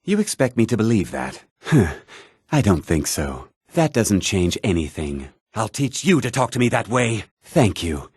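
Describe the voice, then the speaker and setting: Casting: condescending fantasy RPG townsman, short-tempered, lively swooping intonation, close dry sound. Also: fairly low pitch, unhurried, slightly rough, dry recording, heavily acted. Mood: annoyed, threatening, then businesslike. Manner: condescending, curt, sneering. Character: haughty, irritable townsman of a fantasy realm. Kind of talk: fantasy video game character lines.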